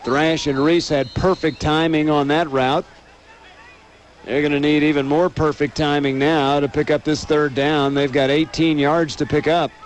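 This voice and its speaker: A man's voice: fairly high pitch, a little slow. An American man calling live football play-by-play as a radio announcer, over outdoor noise. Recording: noisy outdoor stadium radio broadcast. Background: steady outdoor ambient noise.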